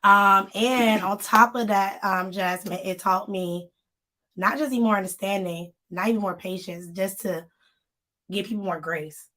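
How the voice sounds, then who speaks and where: A woman co-hosting a casual live-stream chat, talking straight to her viewers, her voice slightly hoarse as it recovers. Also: medium pitch, fairly even intonation, a little slow, sharp tone. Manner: chatty, addresses viewers directly. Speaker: magazine co-host.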